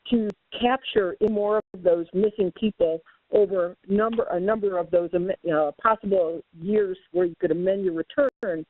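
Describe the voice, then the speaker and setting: A woman's voice: mid-range voice, dry recording. Woman calling in over a tinny line. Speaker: audience member asking a question. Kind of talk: conversational question from caller. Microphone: telephone call-in. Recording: tinny narrowband phone line.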